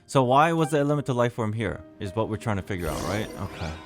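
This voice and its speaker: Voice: medium pitch, big pitch swings, quick pace, soft background music. A streamer giving casual, playful commentary on a game playthrough, improvising along with the story.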